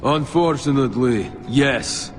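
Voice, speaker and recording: A man's voice: medium pitch, slow, lightly acted. A man playing a grim, battle-worn veteran soldier in a video game cutscene. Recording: voice under very loud game music.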